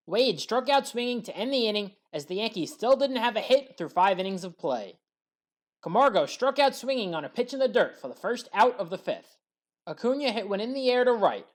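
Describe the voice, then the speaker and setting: Sports recap narrator: woman, higher register, strongly varied intonation, measured scripted play-by-play. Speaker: sports highlights commentator.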